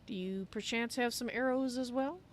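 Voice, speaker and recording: A woman's voice: fairly high pitch, normal speed, pitched above the performer's own voice, natural voice. Woman playing a courteous cat-folk adventurer woman, faint noise. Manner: refers to herself in the third person, courteous. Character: polite female Khajiit cat-folk adventurer, chirpy and courteous.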